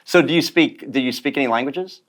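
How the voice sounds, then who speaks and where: A man hosting an interview show, asking rambling questions and dropping quick quips. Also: mid-range voice, a bit bright. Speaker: show host. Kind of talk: casual interview chat.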